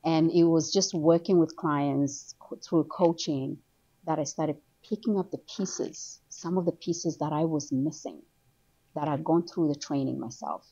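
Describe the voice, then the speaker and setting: A middle-aged woman from Somaliland, raised in Kenya and England, telling her story as an author guest in an animated, conversational way. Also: measured pace. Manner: animated storytelling, rises high when excited. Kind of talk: conversational interview. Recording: dull lo-fi sound.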